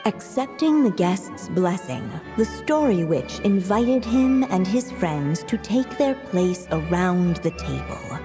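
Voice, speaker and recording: A woman narrating a storybook tale in a video game, in measured, composed, literary phrases. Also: medium pitch, animated, long reverb tail, music in the background. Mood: measured storytelling.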